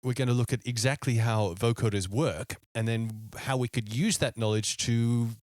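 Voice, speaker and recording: A man presents a tutorial in an informal, think-aloud style. His voice sits at a medium pitch. The recording is close and dry, with very little room sound. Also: steady tone, normal speed, a little mellow, no echo. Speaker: music production tutorial host. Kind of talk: casual screen tutorial, explaining step by step.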